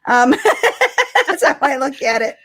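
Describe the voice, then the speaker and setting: A woman co-hosting a casual live-streamed chat about fandom news, talking in an animated, chatty way with exclamations. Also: high voice, slow. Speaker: podcast co-host.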